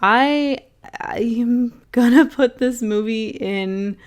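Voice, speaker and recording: A young woman vlogger casually reviewing films to camera, rambling with lots of fillers and restarts, a little hoarse. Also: fairly high pitch, drawn-out words, background noise. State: slightly hoarse. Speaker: film vlogger ranking movies.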